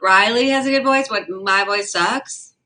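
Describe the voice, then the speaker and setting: Young woman streamer, casual and giggly, bright voice, rambling chat host. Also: mid-range voice, measured pace. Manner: chatty, giggly, lots of fillers. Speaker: livestream host. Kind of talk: casual chat reading viewer comments.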